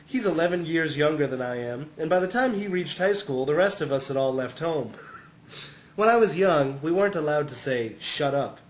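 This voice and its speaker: A man's voice: a little high, animated, moderate pace, roomy sound. A man reading a humorous first-person essay as scripted narration, sounding amused and often close to chuckling.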